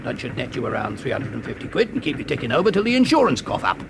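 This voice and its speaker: An actor playing a self-assured, cajoling British crook with a mocking menace in a classic radio drama. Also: male voice, mid-range voice, very animated, very fast, vocal fry. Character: sly, smooth-talking male crook, coolly menacing schemer. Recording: noisy old radio recording.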